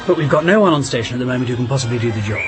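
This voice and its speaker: An actor playing an urbane, persuasive English diplomat and husband in a radio drama. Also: male voice, mid-range voice, expressive, very fast, music in the background, natural voice. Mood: coaxing, grave. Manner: urbane, old-fashioned, hesitant when coaxing. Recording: clear noise behind the voice.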